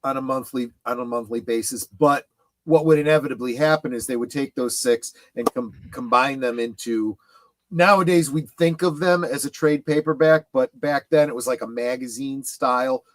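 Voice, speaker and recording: Man chatting casually, halting, dry close sound. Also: medium pitch, no room sound. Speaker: panelist on a pop culture livestream. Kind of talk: casual chat about comics.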